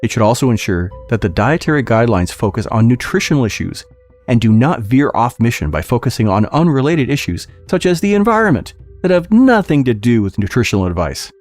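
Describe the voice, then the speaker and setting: A man's voice: mid-range voice, big pitch swings, a little fast, a little mellow, faint music behind. A man reading a formal policy document aloud as a scripted podcast narration.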